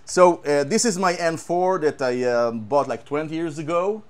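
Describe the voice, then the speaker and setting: Israeli-accented man, slightly high voice, casual halting gear talk, lots of fillers. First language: Hebrew. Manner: halting, repeats words, many fillers. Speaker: guitar tech. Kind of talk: casual show-and-tell chat.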